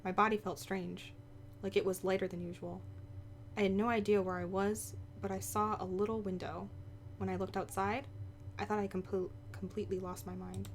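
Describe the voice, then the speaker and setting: A woman voicing a young, sharp-tongued woman telling of her past in a visual novel, informal and snappy. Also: medium pitch, level tone, normal speed, slight background noise, close to an everyday voice. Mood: reflective. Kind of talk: visual novel voice-over read aloud.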